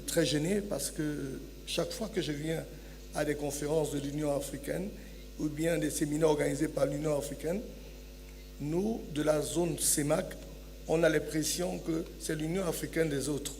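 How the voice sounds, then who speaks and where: A man with a fairly high voice gives formal remarks on a conference panel. He hesitates often and fills his pauses with "euh". The recording has long hall reverb and a faint hum. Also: fairly even intonation, gravelly, darkish tone. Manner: hesitant, frequent "euh" fillers. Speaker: business federation president panelist.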